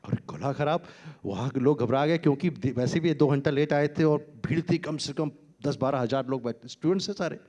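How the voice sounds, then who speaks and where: A man's voice: on the high side, a little fast, few pauses, dark tone. A man, a doctor on a conference panel stage, telling anecdotes in a chatty way that mixes Hindi and English, laughing often.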